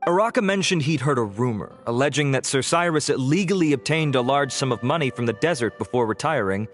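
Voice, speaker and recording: An actor playing a measured, formal investigator who reports findings politely, in a game scene with faint music. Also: male voice, medium pitch, expressive, natural voice.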